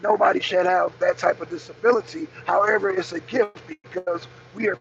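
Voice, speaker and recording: An American man with a raspy voice hosting a political talk show, giving impromptu commentary and emphatically repeating his key phrases. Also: high-pitched, fairly quick. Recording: dull lo-fi audio, clear background noise.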